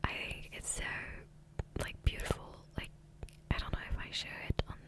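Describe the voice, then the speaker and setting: A woman whispering softly and breathily into a close microphone in a rambling, hesitant ASMR gameplay commentary, often trailing off. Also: normal speed. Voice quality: soft, breathy unvoiced whisper. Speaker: ASMR gaming creator. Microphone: close USB condenser microphone.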